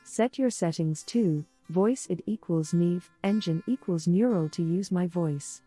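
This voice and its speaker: Irish female, warm and husky, for scripted demo narration. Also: on the low side, measured pace, soft background music.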